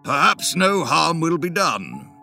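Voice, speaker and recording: A man reading the part of a cautious Victorian gentleman who objects with a grave, measured delivery. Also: on the high side, wildly swooping pitch, creaky, soft background music, heavily acted. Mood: wary. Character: cautious, wary Victorian gentleman, club member.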